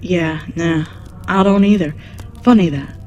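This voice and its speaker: A woman's voice: fairly low pitch, a little slow, a little mellow, muffled recording, soft background music, lightly acted. A woman playing a sly, teasing devil in an audio roleplay, drawling with amused taunts as they bargain for a soul. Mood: smug, teasing.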